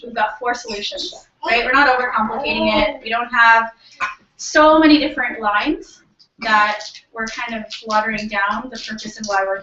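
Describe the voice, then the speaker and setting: A woman from Canada giving an informal, motivational business presentation to a group, enthusiastic and conversational, often putting questions to the audience. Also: a little high. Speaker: presenter at a company team meeting. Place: room in a house, live audience. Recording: roomy, distant, dull, noisy live-feed recording.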